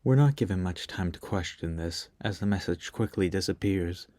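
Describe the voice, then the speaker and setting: A man narrating a scripted video essay review in a measured, even storytelling delivery. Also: deep voice.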